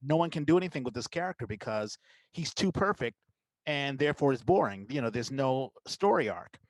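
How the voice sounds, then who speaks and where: A man's voice: mid-range voice, expressive, brisk, no room sound, low-quality recording. A middle-aged man hosting a podcast in casual conversation, building his questions up gradually in a rambling way. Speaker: podcast host.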